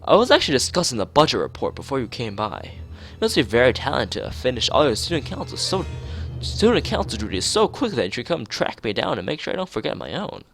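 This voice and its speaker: A man's voice: medium pitch, big pitch swings, fast, vocal fry, fairly bright tone, soft background music, pitched above the performer's own voice. A man voicing a polite but cutting schoolgirl class representative, formal and barbed, in a visual novel.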